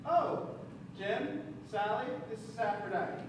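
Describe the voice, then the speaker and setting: A polite, uneasy dinner host played by a man in a stage comedy. He is far from the mic in a very echoey hall with clear noise. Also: high-pitched, slow pace. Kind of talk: amateur stage comedy acting.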